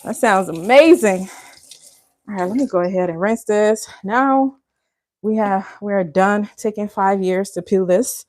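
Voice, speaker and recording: A woman's voice: medium pitch, a little slow, darkish tone, no room sound. A woman from Liberia hosting a live cooking stream, chatting casually with viewers and calling them y'all. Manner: chatty, addresses viewers as y'all, laughs often. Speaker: cooking channel host.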